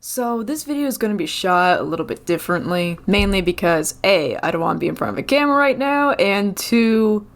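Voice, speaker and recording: A woman's voice: mid-range voice, lively intonation, fairly quick, few pauses. A woman who has just woken up, giving a casual, conversational and opinionated rant as a video game commentator. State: just woke up.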